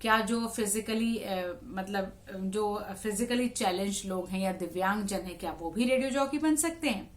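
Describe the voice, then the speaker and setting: A woman's voice: mid-range voice, moderate pace, few pauses. A woman talking to viewers in an explanatory, conversational vlog style, restating points for emphasis. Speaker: radio jockey and vlogger.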